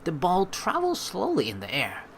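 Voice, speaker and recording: A young man hosting a let's play, reading the story aloud and adding expressive, rambling commentary. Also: high-pitched, normal speed, creaky, a little hiss or hum.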